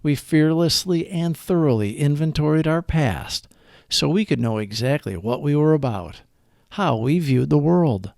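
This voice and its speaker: A man reading a scripted daily reflection aloud as host, in a measured, earnest way with deliberate phrasing. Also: fairly high pitch, expressive, vocal fry, darkish tone, dry recording, clean studio sound.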